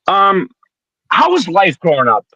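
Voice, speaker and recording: Man, twenties, from Detroit, casual sports talk, rambling, profane, slightly high voice, dry close sound. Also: wildly swooping pitch, unhurried, pushed and bright, slightly rough, no room sound, dull audio. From Detroit area. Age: 20s.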